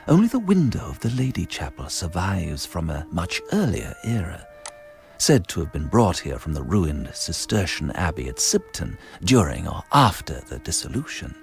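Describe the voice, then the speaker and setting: An actor playing a solemn, bookish narrator who reads slowly and deliberately in a radio drama. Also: male voice, low voice, vocal fry, exaggerated voice. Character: solemn male narrator reading an old church description. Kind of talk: slow scripted narration of a ghostly tale.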